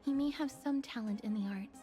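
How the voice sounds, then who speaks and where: A woman voicing a gentle, considerate young companion in a video game, speaking politely in a measured way. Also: a little high, close to an everyday voice. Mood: wary. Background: game music.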